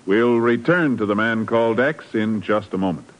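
A man's voice: fairly low pitch, normal speed, noisy background. A man giving a formal, earnest scripted appeal as a 1950s radio announcer. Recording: muffled old radio recording.

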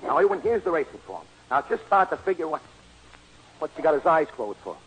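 An actor playing a bossy, slangy New York horse-betting gambler in a 1940s radio comedy, talking fast and ungrammatically. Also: male voice, fairly high pitch, sing-song, background noise. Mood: urgent, insistent. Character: brash, bossy American horse-betting gambler, sentimental, uneducated. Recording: old 1940s radio broadcast recording.

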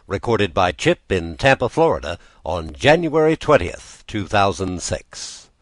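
Low-voiced American man reading with lively intonation. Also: a little slow, dry recording, clean studio sound. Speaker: volunteer audiobook reader. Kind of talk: scripted storybook narration.

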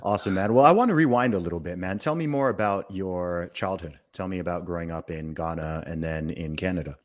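A man hosting a podcast, greeting listeners loudly and prompting his guest in short, friendly bursts. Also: low voice, brisk. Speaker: podcast host. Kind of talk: podcast interview.

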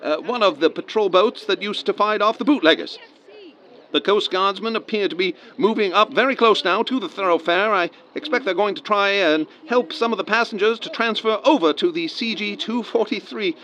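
High-voiced vintage radio field reporter. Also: male voice, moderate pace, a bit bright. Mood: concerned, observant. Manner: descriptive, hesitant, earnest. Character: earnest 1930s male radio field reporter at a disaster. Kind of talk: 1930s live radio news commentary. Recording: dull vintage radio sound.

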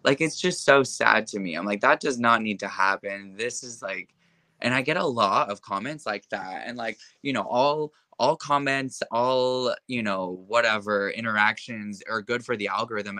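Young man, interview guest, impassioned, lots of filler words, bright tone, dry close sound. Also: lowish voice, no echo. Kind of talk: casual conversation.

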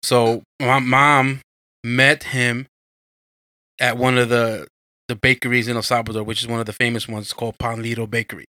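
A man's voice: medium pitch, little pitch movement, moderate pace, bright, forceful tone, no echo, studio quality. A man from the United States hosting a podcast in a casual, chatty style. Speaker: podcast host. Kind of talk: casual chat.

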